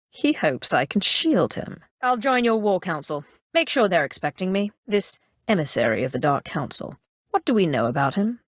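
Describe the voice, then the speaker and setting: A woman playing an imperious, calculating Sith sorcerer in a video game, with a measured, haughty and coolly threatening delivery. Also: mid-range voice, no echo, clean studio sound. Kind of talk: scripted game character dialogue.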